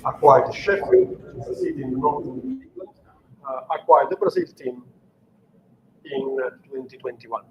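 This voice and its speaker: A man's voice: mid-range voice, huge pitch swings, normal speed, a little hiss or hum, poor audio. A middle-aged man from Italy with an Italian accent, giving an unscripted technical presentation with many fillers and restarts. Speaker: engineering manager giving a technical talk.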